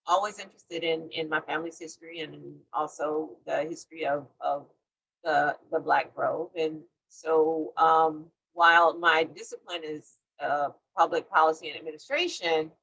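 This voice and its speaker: A woman from Miami speaks haltingly in a husky, gravelly low voice. Her video-call audio sounds dull and lo-fi. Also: fairly bright tone. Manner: halting, many fillers. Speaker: panelist.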